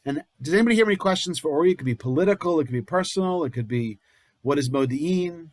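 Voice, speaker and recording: A man's voice: a little high, lively intonation, quick pace, a little mellow, no echo. A man hosting a congregation program on a video call, talking casually with lots of fillers as he moderates questions.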